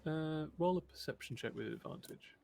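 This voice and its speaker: A man acting as game master, narrating a tabletop game in a halting, conversational way. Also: on the high side, gravelly, darkish tone, slight background noise.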